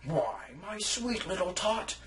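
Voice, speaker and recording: A sly, grumpy old thieving creature from a storybook, acted out by a male reader. He scheme-talks slowly, relishing his wicked plans with drawn-out, hissing phrases. A clear noise floor is faintly present behind him. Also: sits high, big pitch swings, fairly bright tone, roomy sound, pitched above the performer's own voice, full character voice. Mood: falsely sweet.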